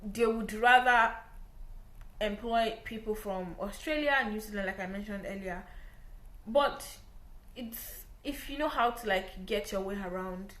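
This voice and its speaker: A woman's voice: mid-range voice, measured pace, fairly bright tone. A young woman with a Nigerian English accent talks to camera in a casual, explaining way. She repeats herself for emphasis and keeps asking "right?" Her voice sits mid-range and carries a noticeable roomy echo. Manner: chatty, repeats points, often says "like" and "right?". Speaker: vlogger giving visa advice.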